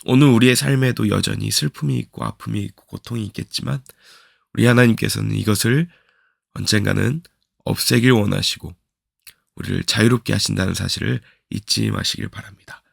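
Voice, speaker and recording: A man, a pastor, giving a slow, earnest devotional sermon, his voice hoarse from a cold. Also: lowish voice, no room sound, clean studio sound. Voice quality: gravelly. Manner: slow, earnest preaching with repeated reflective questions.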